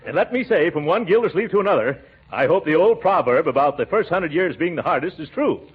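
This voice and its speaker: Man acting a flustered blustering uncle, chuckling asides, animated highish voice, vintage radio comedy, heavy hiss. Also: a little fast, lightly acted. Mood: gracious. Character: blustering, chuckling middle-aged uncle, reluctant groom. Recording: noisy, dull 1940s radio recording.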